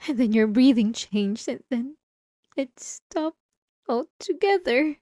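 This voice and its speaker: A woman playing an obsessive, remorseful wife in an intimate ASMR roleplay, confessing in a halting, tearful way close to the microphone. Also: high voice, expressive, mellow, no echo. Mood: anguished confession. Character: obsessive, possessive wife, remorseful, delusional and tormented. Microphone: close microphone, dry.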